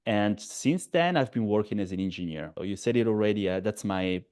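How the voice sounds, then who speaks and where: Low-voiced Italian man, halting speech. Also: lively intonation, a little mellow. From Italy, Sardinia. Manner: halting, frequent restarts, laughs easily. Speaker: guest investor. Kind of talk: podcast interview.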